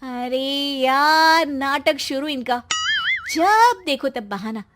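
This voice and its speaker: A woman's voice: high voice, expressive, slow pace, fairly bright tone, soft background music, exaggerated voice. A woman playing an impatient young wife who scolds and mocks in a comic story. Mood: sarcastic, exasperated. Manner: scolding, mocking, exasperated. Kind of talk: acted dialogue in a Hindi comic story.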